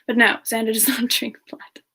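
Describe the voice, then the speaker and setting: A woman playing a sweet, chatty young female demon, talking gently and hesitantly in a casual semi-ASMR live chat. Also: fairly high pitch, little pitch movement, slightly rough, exaggerated voice. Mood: gentle, friendly. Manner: gentle, hesitant, pausing often mid-sentence. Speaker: host of a live Q&A stream.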